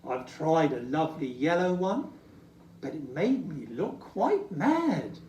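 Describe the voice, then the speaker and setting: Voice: medium pitch, big pitch swings, slow, a little mellow, slight background noise. A storybook reader voicing a troubled, fussy old Santa who sighs and laments in sing-song rhyme. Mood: fretful. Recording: echoey room, distant from mic.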